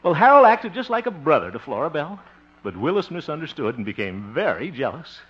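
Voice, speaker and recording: An actor playing a folksy, good-natured, nervous bachelor in a 1950s radio comedy, hesitant and given to chuckling. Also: male voice, a little high, big pitch swings, a little fast, husky. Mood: jovial, uneasy storytelling. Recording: dull, muffled 1950s radio recording.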